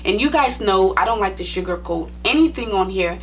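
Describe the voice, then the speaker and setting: A woman's voice: mid-range voice, animated, a little fast, a little hiss or hum. A young woman with a Liberian accent, a nursing vlogger talking to her viewers in a chatty, direct, plain-spoken way. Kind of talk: casual storytime vlog.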